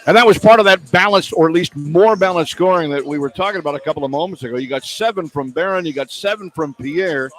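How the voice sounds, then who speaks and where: A man's voice: a little high, fast, hardly any pauses, fairly bright tone, low-quality recording. A man doing radio sports commentary as a play-by-play announcer. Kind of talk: sports commentary and post-game wrap-up.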